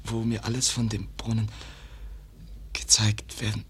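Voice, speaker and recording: A wounded young man played by a male radio actor speaks very slowly in a low voice, with faint noise behind him. He is weak and in pain, pushing out broken fragments between gasps, frightened and halting. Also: flat delivery, natural voice. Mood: weak, labouring. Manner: broken, clipped sentences with missing words. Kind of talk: German radio drama acting.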